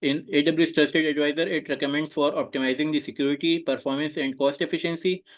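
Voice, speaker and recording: A man's voice: on the high side, level tone, quick pace. A young man giving an oral exam presentation over a video call, speaking hesitantly with many filler sounds. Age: young adult. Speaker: student presenter.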